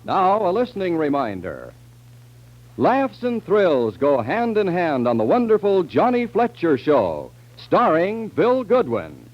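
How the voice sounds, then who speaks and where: A man's voice: high-pitched, wildly swooping pitch, slow, slight background noise. A man announcing in scripted 1940s network radio style. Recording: dull 1940s radio recording. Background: music.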